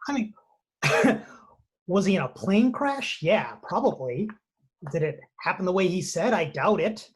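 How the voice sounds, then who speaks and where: A man plays a doubtful husband and TV writer. His voice is husky, and he dismisses things dryly and offhandedly, as in a table read. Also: sits high, very animated, unhurried, exaggerated voice. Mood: dry, dismissive. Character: skeptical, dismissive married male TV writer. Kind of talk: dramatic screenplay table read.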